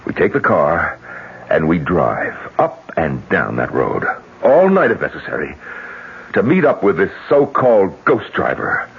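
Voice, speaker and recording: An actor playing a grim, determined widower bent on revenge in a 1970s radio drama, speaking with emphatic resolve. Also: male voice, sits low, wildly swooping pitch, measured pace, gravelly, husky, full character voice. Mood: grimly determined. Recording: tinny narrow-band old radio broadcast, hiss.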